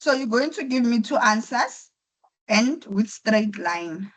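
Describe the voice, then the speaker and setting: A woman's voice: a little high, animated, takes it slowly, poor audio. Woman teaching with a flu-affected voice. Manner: patient, step-by-step explaining with repetition. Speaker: accounting tutor. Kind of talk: online lecture. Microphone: computer mic on a video call.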